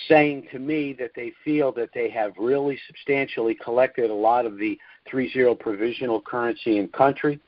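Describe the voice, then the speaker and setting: A man's voice: medium pitch, no room sound. Guy hosting a conference call update, explaining stuff step by step, dry lo-fi sound, leans on key words. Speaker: host of an investment update call. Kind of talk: informal conference call briefing, explanatory monologue. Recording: dull, lo-fi conference call audio.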